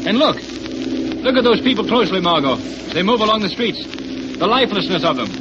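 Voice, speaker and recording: A suave, determined detective hero in a 1940s radio drama. His formal delivery swings dramatically on a highish pitch. Loud noise sits behind the muffled old recording. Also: expressive, a little fast. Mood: dramatic, urgent.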